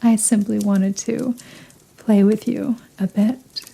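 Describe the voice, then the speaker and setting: A woman playing a possessive, seductive female vampire in an ASMR roleplay, speaking in a teasing, formal, softly commanding manner with breathy murmurs. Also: mid-range voice, fairly even intonation, takes it slowly, exaggerated voice, poor audio. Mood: amused, possessive. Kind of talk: ASMR roleplay, scripted intimate monologue.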